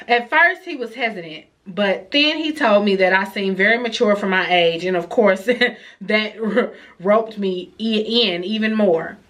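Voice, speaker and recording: A young woman talks through a stuffy, nasal head cold in a relaxed advice vlog. She reads viewer letters aloud and breaks in with chatty reactions. Her pitch sits in the middle for a woman. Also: a little slow. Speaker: vlogger giving advice.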